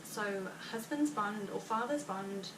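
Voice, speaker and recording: Chatty Afrikaans-accented woman, roomy distant sound. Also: medium pitch. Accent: South African Afrikaans. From South Africa. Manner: chatty and rambling, with frequent fillers and quick laughs. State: heavily pregnant. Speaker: vlogger. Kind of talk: casual chat to viewers. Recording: roomy, distant mic with background noise.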